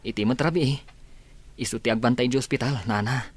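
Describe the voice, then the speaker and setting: A man's voice: medium pitch, a little fast, slightly rough, dry recording, natural voice. A man with an Ilocano Filipino accent playing a worried young villager in a radio drama. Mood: worried, polite.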